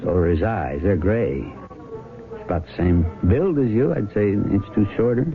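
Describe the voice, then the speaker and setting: An actor playing a mild, persistent small-town sheriff with an American accent and a hesitant, folksy manner in a radio drama. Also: male voice, lowish voice, expressive, moderate pace. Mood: quietly insistent. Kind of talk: 1970s radio drama acting. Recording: muffled vintage radio, music behind.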